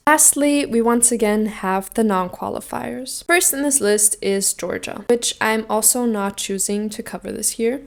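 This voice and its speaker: A young woman from Austria giving casual, opinionated commentary as a video host, emphatic and jokey, swearing freely. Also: mid-range voice. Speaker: video host giving song contest predictions.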